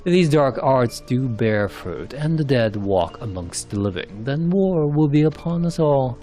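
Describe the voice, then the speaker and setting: A man voicing a secretive, watchful bard from a fantasy game, with measured and formal archaic phrasing. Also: medium pitch, expressive, mellow, close to an everyday voice. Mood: grave, deliberate. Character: suave, secretive male bard, grave and watchful. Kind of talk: amateur narrated fantasy game cutscenes. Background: music.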